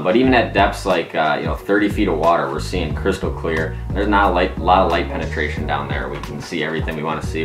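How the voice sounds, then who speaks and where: A man in his thirties giving a casual, informal explanation to camera as a video host. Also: very deep voice. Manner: informal, conversational. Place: small domestic room at a table, indoors. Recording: roomy echo, music behind.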